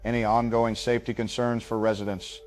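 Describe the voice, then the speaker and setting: Man as a low-pitched sober news anchor. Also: flat delivery, a little fast, close to an everyday voice. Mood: sober, formal. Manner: formal, sober, polite. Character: serious male local TV news anchor. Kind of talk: breaking news broadcast in an audio drama.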